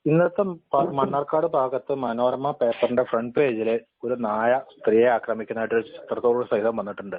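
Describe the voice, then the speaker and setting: A man speaks very rapidly over a narrow, tinny phone line. He is making a formal complaint, courteous and deferential yet insistent, and the sound is dry with no room around it. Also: mid-range voice, steady tone, no room sound. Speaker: temple head priest, complainant caller. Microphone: telephone call.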